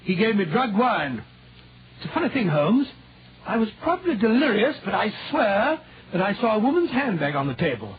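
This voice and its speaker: Tinny old-radio Doctor Watson, high-voiced English gent. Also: male voice, sing-song, moderate pace. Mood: earnest recounting. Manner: blustering, stammering, repeats himself. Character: Doctor Watson, bluff, kindly, befuddled older English gentleman. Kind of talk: 1940s radio acting. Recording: tinny, faintly noisy 1940s radio recording.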